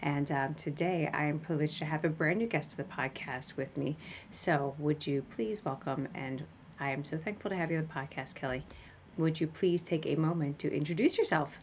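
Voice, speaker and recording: A woman podcast host with a slightly low-pitched voice. She chats casually with her guest in a talkative, self-deprecating way. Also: moderate pace, slight background noise. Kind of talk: casual interview chat.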